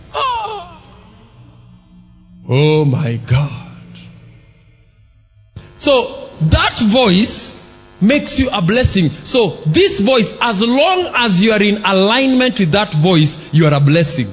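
A man preaches in a long-reverb hall, with soft music underneath. His voice sits high and swings widely in pitch. He talks slowly and shouts at the peaks. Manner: emphatic, shouting at peaks and dropping low for asides. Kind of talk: sermon.

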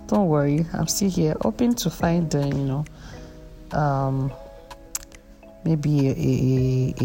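A young woman with a Nigerian accent chats with her podcast listeners, low in pitch and mellow. She jokes at her own expense and pauses often with fillers, with faint music behind. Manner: chatty, self-mocking, hesitant with many fillers. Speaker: podcast host. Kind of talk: casual chat.

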